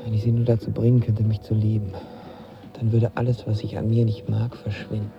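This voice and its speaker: A man's voice: fairly low pitch, completely flat delivery, brisk, gravelly, muffled recording, over music. An actor playing a lovestruck, insecure young actor who tells of his first love in a radio drama, intimate and colloquial. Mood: yearning. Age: young adult. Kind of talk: radio drama acting and first-person narration.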